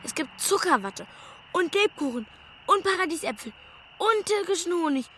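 A woman's voice: very high voice, very animated, normal speed, pushed and bright, slight background noise, full character voice. A female performer playing a spirited, bossy ten-year-old fairground girl in a children's radio play. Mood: excited, proud.

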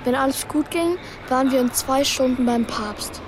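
A woman's voice: high voice, moderate pace, music in the background, close to an everyday voice. A woman playing a young boy who misses his brother, earnest and heartfelt, narrating and acting in a children's radio play. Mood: earnest storytelling.

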